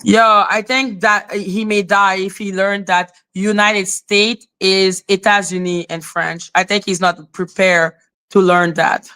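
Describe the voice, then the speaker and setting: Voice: medium pitch, level tone, slow pace, bright, forceful tone, clear, resonant tone. A live-stream host ranting in casual commentary, indignant and profane, with sarcastic mocking questions.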